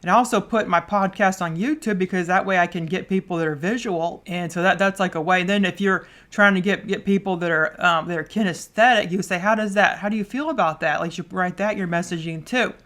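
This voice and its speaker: A woman hosts a solo podcast in a loose, chatty way. Her voice is loud and her talk runs fast, so she often trips over her words and repeats them before she goes on. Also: on the low side. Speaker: podcast host.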